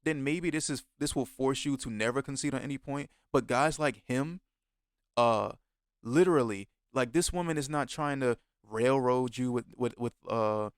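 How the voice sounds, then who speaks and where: Guy ranting over a clip he's watching, swears a lot, smooth clear voice, dry close mic. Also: mid-range voice, moderate pace, no room sound. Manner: blunt, heavy swearing, exasperated. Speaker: streamer reacting to a video. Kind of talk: casual reaction commentary.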